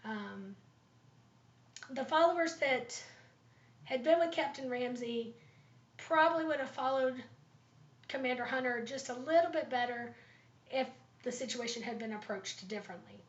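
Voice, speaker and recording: A woman student giving a class presentation, thoughtful and pausing often with ums, her voice slightly raspy from allergies. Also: sits high. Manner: thoughtful, with frequent ums and pauses. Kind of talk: class presentation, leadership analysis. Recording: roomy, dull lo-fi recording.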